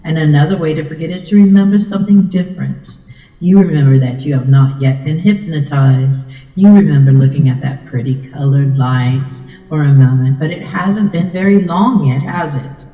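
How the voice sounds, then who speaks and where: Very dark-voiced hypnotist woman in reverb. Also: deep voice, normal speed. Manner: soothing, coaxing, repetitive trance patter. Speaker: hypnosis ASMR performer. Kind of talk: ASMR hypnosis, slow suggestive scripted monologue. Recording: muffled, echoey with long reverb.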